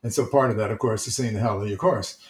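A man who is a church elder, gently telling stories from his church life in casual, reflective talk. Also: on the low side, racing. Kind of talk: casual storytelling. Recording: roomy, echoey sound.

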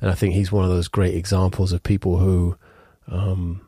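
A man's voice: deep voice, monotone, normal speed, dark tone, breathy edge, dry recording, studio quality. A man in his thirties with a stuffed-up cold, chatting casually about chord voicings in a rambling music lesson, piano playing in the mix. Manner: rambling, restarts and fillers, occasional hummed notes. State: congested with a cold. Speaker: musician teaching composition.

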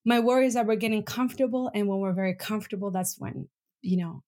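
A woman's voice: medium pitch, quick pace, warm tone. A woman from Venezuela and Bolivia, a podcast guest speaking earnestly and reflectively in a casual interview, with frequent ums. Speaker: podcast guest, human rights advocate.

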